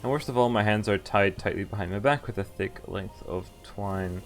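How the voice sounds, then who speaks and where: A man streaming a game, reading a visual novel aloud in expressive first person with casual, offhand asides. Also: on the low side, moderate pace, noisy background. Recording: music behind the voice. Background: game music.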